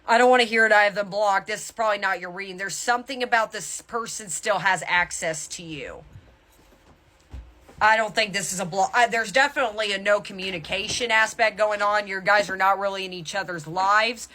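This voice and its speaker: A woman's voice: medium pitch, a little fast, bright, forceful tone. A woman tarot reader talking casually and candidly to her viewers through an intuitive reading, with emphatic asides.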